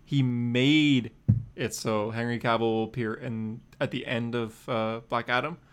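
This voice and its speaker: A man with a medium-pitched voice talks casually as a podcast co-host. He is hesitant and apologetic, often restarting and repeating his words as he relays the news. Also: steady tone, a little slow, clean tone. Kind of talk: casual comic-book news chat.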